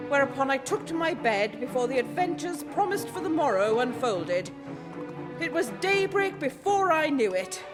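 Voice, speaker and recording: A woman acts an earnest detective's companion in a radio play. The voice is high, articulate and lively in its swoops, with hall-like reverb around it. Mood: weary storytelling. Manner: expressive, articulate storytelling. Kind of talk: radio play acting and scripted narration.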